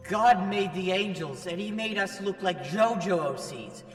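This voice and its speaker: Flamboyant, preachy angel, male narrator acting, high male voice, echoing hall effect, foul-mouthed and scolding. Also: a little slow. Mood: pompous, preachy. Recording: reverberant hall effect over faint music.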